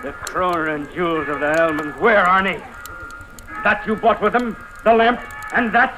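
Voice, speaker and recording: An actor plays an insinuating village man in an old radio play, his voice on the high side. He phrases things formally and deliberately, needling as he goes. The recording is plainly noisy. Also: natural voice. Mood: accusing. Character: insinuating, accusing village man, former resistance comrade. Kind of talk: 1950s radio drama acting.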